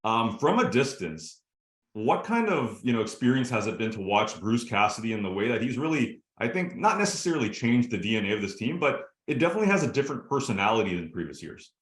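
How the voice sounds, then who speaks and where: A man's voice: mid-range voice, very animated, quick pace, a bit bright, echoey room. A man, a sports show host asking long, eager, rambling interview questions.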